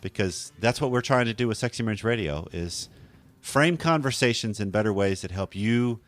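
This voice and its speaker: A man with a low, deep and slightly hoarse voice hosts a podcast in an easygoing, rambling way, often stopping to correct himself. The recording is dry and close, with no room sound. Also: moderate pace, no echo. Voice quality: deep radio voice. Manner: conversational, rambling, self-correcting. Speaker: podcast host. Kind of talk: casual podcast talk.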